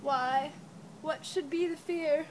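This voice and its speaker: A woman plays a headstrong, defiant young prince in amateur Shakespeare. The voice is high and declamatory, with light noise in the recording. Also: fairly even intonation, slow. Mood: resolute. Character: defiant young prince determined to follow a ghost. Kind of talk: amateur stage reading of Shakespeare. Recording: some background noise.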